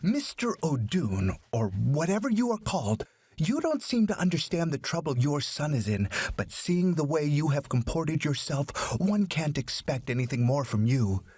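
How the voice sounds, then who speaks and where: A man voicing an outraged, sharp-tongued woman with an indignant, cutting manner in a story. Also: a little high, big pitch swings, normal speed, breathy edge, no room sound, pitched above the performer's own voice. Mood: seething.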